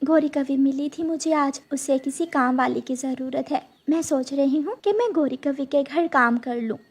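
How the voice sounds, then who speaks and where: Woman as a high-voiced gentle mother bird. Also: moderate pace. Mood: earnest. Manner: soft, humble, earnest. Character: gentle, dutiful mother bird, worried wife.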